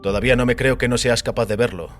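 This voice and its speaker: An actor playing a calm, enigmatic male visitor who speaks with measured, solemn authority in an audio drama. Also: medium pitch, natural voice. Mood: insistent, probing. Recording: music bed under the voice.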